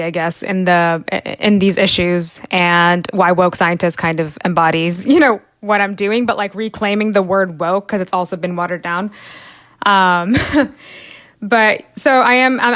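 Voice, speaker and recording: A woman's voice: fairly low pitch, fairly bright tone, clear, resonant tone, no room sound. A young woman from South India, a podcast guest who talks freely with many fillers and frequent laughs. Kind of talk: casual podcast interview.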